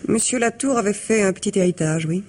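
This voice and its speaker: A woman's voice: mid-range voice, a little fast, a little mellow, a little hiss or hum, lightly acted. A woman playing a cool, self-possessed secretary who parries questions curtly in a radio mystery drama. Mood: cool, defiant. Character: cool, defiant, guarded female executive secretary.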